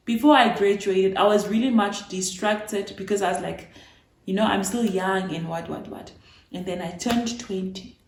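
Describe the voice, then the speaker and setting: A woman in her twenties from Namibia talks casually to her viewers, animated and playful as she retells her own story. Her voice sits at a middle pitch. The recording carries a noticeable room echo. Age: 20s. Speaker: vlogger. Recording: echoey room.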